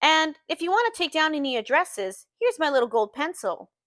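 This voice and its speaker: Woman reading as Daisy, flirtatious high-voiced socialite. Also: very animated, normal speed, fairly bright tone, no echo, clean studio sound. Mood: genial, playful.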